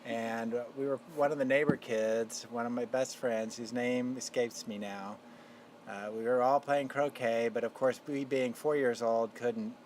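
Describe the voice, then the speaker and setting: A man in his fifties from Texas, telling childhood memories in a relaxed family interview, halting with fillers. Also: medium pitch, a little hiss or hum, rough recording. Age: 50s. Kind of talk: casual family interview storytelling. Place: backyard outdoors.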